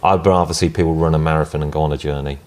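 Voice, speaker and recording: A man's voice: extremely low pitch, steady tone, rapid-fire, darkish tone. A man co-hosting a casual chat in a hair salon, asking probing, opinionated questions into a handheld mic. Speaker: co-host.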